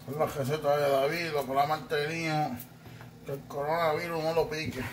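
A man chatting casually while cooking in a home kitchen, relaxed and joking, recorded on a phone held by someone else. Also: fairly high pitch, a little fast. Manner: relaxed, confident, jokey. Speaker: home cook showing a ginger drink. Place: small home kitchen, indoors. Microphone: phone held by the other speaker. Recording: phone audio in a noisy kitchen, a bit off-mic.

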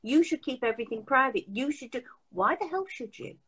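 An older woman hosts a casual video call from home, her voice sitting fairly high. She talks emphatically, often restarting her sentences as she presses a point. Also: lively intonation, brisk, fairly bright tone, slightly rough. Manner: chatty, emphatic, many restarts. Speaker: host. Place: home room.

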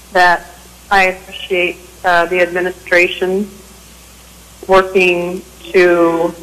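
A woman council member giving short, measured remarks at a city council meeting. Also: on the low side, a bit bright, poor audio.

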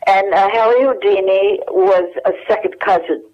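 An older woman reminiscing as an interview guest over a telephone line, with many pauses and restarts. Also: medium pitch. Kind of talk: casual phone interview.

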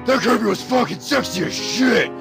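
A lecherous, crude cartoon dad, voiced by a man. His high voice drags slowly and is thick with gravel, over clear music. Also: expressive. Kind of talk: anime parody dub.

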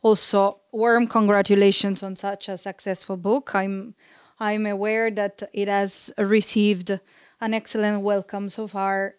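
A woman in her thirties responding to a book at a panel event, speaking carefully into a handheld mic with frequent pauses and restarts. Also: mid-range voice, clean tone, dry recording, clean studio sound. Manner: careful, hesitant with many uhs and restarts. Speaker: discussant at a panel. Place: event stage in a large room.

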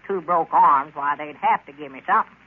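A man's voice: a little high, fairly quick, slightly rough. An actor playing a fretful, henpecked old rural man with a Southern American drawl, in a folksy, plaintive 1930s radio comedy style. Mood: worried. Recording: scratchy, tinny 1930s radio broadcast.

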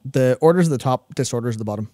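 A man's voice: medium pitch, very fast, dark tone, no room sound. A man in his thirties chatting casually and analytically as a co-host, explaining things in a rambling, self-correcting way into a close studio microphone. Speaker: co-host of a gaming discussion show. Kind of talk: casual analytical chat about game lore. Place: small indoor studio with acoustic foam. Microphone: close broadcast microphone on boom arm.

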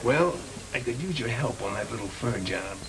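An actor playing a shifty, slangy small-time crook in 1930s film style, with an American accent. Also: male voice, mid-range voice, animated, moderate pace, a crowd in the background, close to an everyday voice, low-quality recording. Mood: sly, needling. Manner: slangy tough-guy talk. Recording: old 1930s film soundtrack, background murmur.

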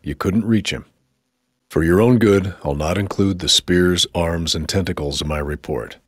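A man playing a stern military interrogator in a video game, questioning in a dry, formal, skeptical way with a husky voice. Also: sits low, moderate pace, no echo. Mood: weary, dry.

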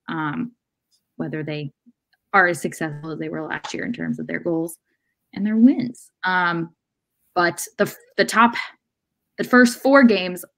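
A young woman on a video call, giving measured, halting sports analysis as a panelist in a casual discussion. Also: lowish voice, a bit bright. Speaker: soccer podcast panelist. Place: indoor room at home, video call. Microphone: video call microphone.

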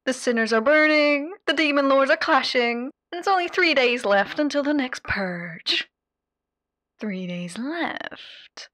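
A sadistic lady demon in an ASMR roleplay, posing as a therapist. She is mocking and showy, with a highish voice that swoops dramatically. Her words are drawn out slowly and recorded dry and close. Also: fairly bright tone, no echo. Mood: slow menace. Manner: mocking, showy, drawn-out delivery with whispered asides and pet names. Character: sadistic, theatrical female radio demon playing hotel therapist. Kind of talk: ASMR character roleplay addressed to the listener.

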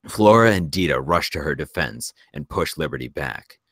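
A man narrates a screenplay in a very deep voice. He reads out scene and action directions as scripted narration. The sound is dry, with little room. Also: lively intonation, normal speed, a bit bright, no echo. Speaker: narrator reading stage directions.

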